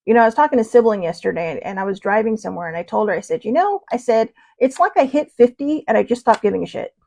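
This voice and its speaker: A woman's voice: on the high side, lively intonation, fast, creaky, darkish tone. A woman in her fifties hosting a casual livestream, chatty and rambling, with lots of fillers and restarts as she answers viewers. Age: 50s. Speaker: host.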